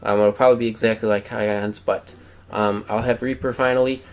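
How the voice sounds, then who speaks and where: A man's voice: lowish voice, fairly even intonation, brisk. A young man casually commenting on his mobile game, rambling and filling gaps with frequent "um"s. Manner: rambling, many "um" fillers. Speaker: gameplay commentator.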